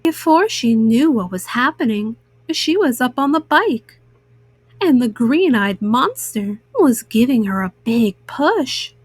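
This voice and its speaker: A woman reading a children's story aloud in an expressive, measured narration for young listeners. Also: fairly high pitch, husky, dry recording.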